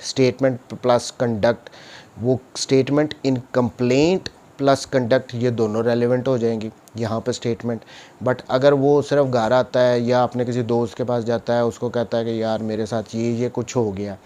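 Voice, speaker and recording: Pakistani guy teaching law, soft dark mellow voice, explains step by step, drops in english terms. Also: mid-range voice, little pitch movement, measured pace. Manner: didactic, asks questions and answers them himself; mixes English legal terms into speech. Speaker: law lecturer, advocate. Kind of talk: explanatory lecture, reading statute aloud and explaining.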